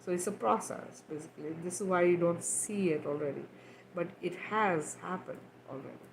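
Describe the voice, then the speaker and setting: Woman from Kenya, lowish voice, rambling livestream healer, faint hiss behind. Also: normal speed, vocal fry. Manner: loose, repetitive, frequent "right?" and fillers. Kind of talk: casual livestream talk, guided healing.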